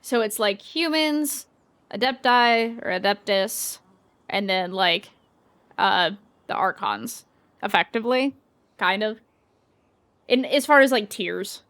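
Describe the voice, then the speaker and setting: A woman's voice: medium pitch, lively intonation, slow pace, pauses often, a bit bright, dry recording. A young woman streamer giving casual gameplay commentary in informal, rambling asides. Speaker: game streamer.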